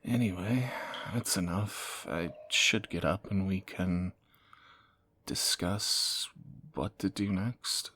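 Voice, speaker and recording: A man playing a groggy fantasy assassin in an intimate romantic audio roleplay, husky and halting, with wry understatement. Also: deep voice, a bit bright, full character voice. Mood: teasing, awkward. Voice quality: gravelly, husky morning voice. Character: groggy male fantasy assassin, softening captor, flustered and teasing.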